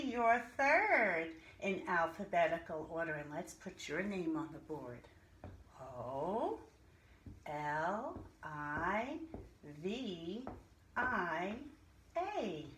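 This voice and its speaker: A woman teaches small children in an echoey room with faint noise behind her. She speaks very slowly and deliberately, in a sing-song way, pausing as she addresses her pupils. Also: mid-range voice, animated, fairly bright tone. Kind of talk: interactive alphabet lesson for young children.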